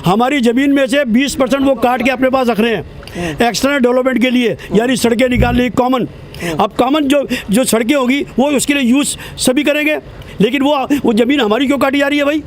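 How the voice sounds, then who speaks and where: A man in his seventies with a very high voice argues his case outdoors at a protest. He is indignant and insistent and keeps repeating the same grievance. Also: fairly even intonation, brisk. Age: 70s. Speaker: protest spokesman. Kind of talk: street interview.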